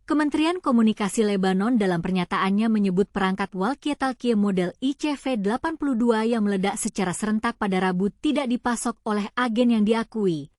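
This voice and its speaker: Female news narrator, higher-pitched voice, dry close sound, scripted delivery. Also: a little mellow, dry recording.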